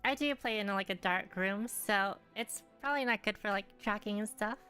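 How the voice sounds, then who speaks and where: A woman's voice: medium pitch, normal speed, sharp tone, faint music behind. A young woman live streaming a game from her room, chatting offhandedly and rambling as she answers viewers. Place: room at home.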